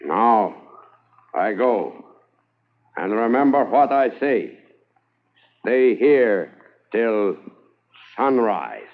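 A slow, menacing outlaw warrior. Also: male voice, on the low side, sing-song, full character voice. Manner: slow, clipped threats. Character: defiant hunted warrior man threatening pursuers. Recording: thin phone-like old radio transcription.

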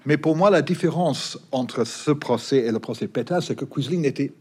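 A man's voice: medium pitch, moderate pace, mellow, breathy edge. British man speaking with many restarts. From Britain. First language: English. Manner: hesitant, frequent restarts and fillers. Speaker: historian guest. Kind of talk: interview.

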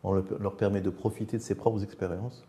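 A man with a fairly deep, mellow voice leads a conversational interview. He speaks reflectively, laying out ideas step by step and repeating phrases to refine them. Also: level tone, brisk. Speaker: interviewer, host.

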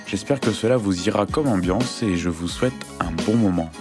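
A man with a fairly low voice hosts a relaxed interview outdoors in a park. He asks his questions haltingly, with fillers and restarts. Music plays clearly beneath him. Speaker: podcast host.

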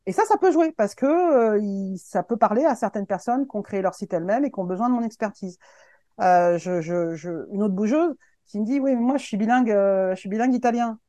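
A woman's voice: medium pitch, warm tone, no echo. Encouraging woman in her fifties, dry sound. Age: 50s. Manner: earnest and encouraging, halting with frequent restarts. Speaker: guest, web agency founder. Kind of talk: casual podcast conversation.

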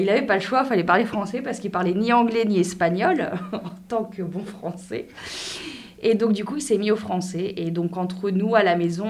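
A woman in her thirties from France, chatting casually as an interview guest, talking in quick bursts with many restarts. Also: on the low side, a little mellow.

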